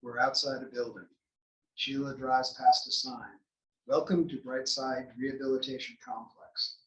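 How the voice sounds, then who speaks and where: A man's voice: mid-range voice, fairly even intonation, unhurried, a bit bright. A man reading scripted stage directions as the narrator at a play table read. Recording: echoey room, distant mic.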